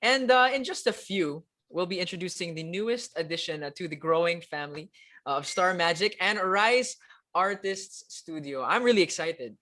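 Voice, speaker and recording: A man's voice: sits high, moderate pace, bright, forceful tone. Chatty man hosting a launch. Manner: upbeat, chatty, switching between English and Tagalog with many fillers. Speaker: host. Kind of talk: live-streamed media announcement hosting.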